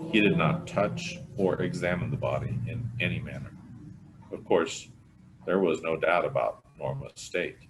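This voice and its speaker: A man's voice: very deep voice, unhurried, noisy background. A man reading a mystery novel aloud as a scripted narration, in the manner of a volunteer storyteller. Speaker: volunteer audiobook reader.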